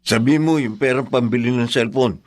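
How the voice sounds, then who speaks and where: A man's voice: mid-range voice, moderate pace, lightly acted. A man playing a defensive, stubborn jailed father, emphatic and aggrieved, in Filipino-accented Tagalog radio drama. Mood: resigned, bitter. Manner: indignant, emphatic.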